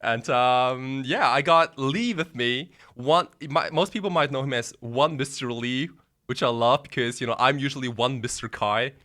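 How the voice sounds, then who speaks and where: A man's voice: medium pitch, normal speed, bright, forceful tone. A man co-hosting a podcast in casual, slangy, blunt conversation. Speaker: podcast co-host. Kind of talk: casual chat.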